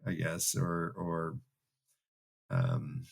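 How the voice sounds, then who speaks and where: A man's voice: low-pitched, very animated, extremely slow, creaky, husky. A man co-hosting a podcast, chatting casually and in a rambling, imaginative way about fantasy books. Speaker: co-host of a fantasy book re-read podcast. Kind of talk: casual book discussion.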